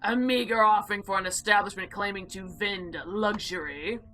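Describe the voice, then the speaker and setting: A woman's voice: medium pitch, normal speed, sharp tone, faint music behind, voice pushed down, natural voice. A woman voicing a haughty, predatory female monster in a visual novel, speaking in formal, imperious, archaic phrases. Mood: disdainful.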